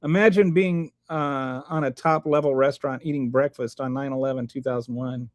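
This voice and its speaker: A man's voice: mid-range voice, steady tone, normal speed, dry recording. A middle-aged man, casually chatting on a livestream and reading viewer comments, rambling with many restarts and fillers. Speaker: livestream host.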